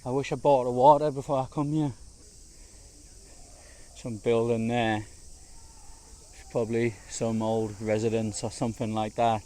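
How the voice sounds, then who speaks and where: Hoarse, chatty man outdoors. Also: medium pitch, flat delivery, normal speed, pauses often, mellow. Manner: chatty, slangy, easygoing. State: slightly hoarse from shouting. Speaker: travel vlogger. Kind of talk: casual walking vlog narration. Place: outdoors in large city gardens, walking in heat.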